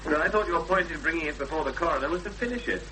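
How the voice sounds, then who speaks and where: An actor playing a sceptical, practical English fiancé in a 1970s British radio drama, terse and wryly matter-of-fact. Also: male voice, a little high, expressive, rapid-fire, bright, forceful tone. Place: outdoors on location. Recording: noisy outdoor 1970s radio drama recording.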